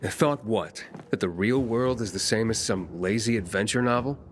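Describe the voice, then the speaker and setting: An actor playing a guarded, cynical young wanderer in a video game, talking bluntly and casually. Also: male voice, on the low side, moderate pace, a little hiss or hum, natural voice. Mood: irritated. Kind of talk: video game cutscene dialogue.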